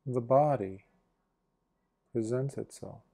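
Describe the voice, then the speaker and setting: Male meditation guide, mid-range pitch, relaxed and mellow, gentle delivery in short, spaced phrases. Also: vocal fry. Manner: gentle, hushed instruction with long pauses between short phrases. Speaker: Buddhist monk leading guided meditation.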